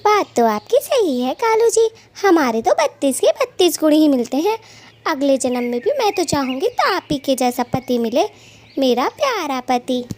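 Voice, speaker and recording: A woman's voice: sits high, very animated, moderate pace, darkish tone. A woman voicing a gossipy, scheming female bird thief who dotes on her husband, in a dramatic, exclamatory children's cartoon manner. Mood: doting. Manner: dramatic, exclamatory, scolding and fawning. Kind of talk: Hindi children's cartoon dialogue.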